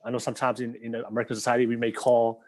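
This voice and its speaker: A young man from Tonga hosts a relaxed podcast conversation in a middle-pitched voice. He meanders through his questions with plenty of fillers and breaks into laughter often. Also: very fast. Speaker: podcast host. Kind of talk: casual interview chat.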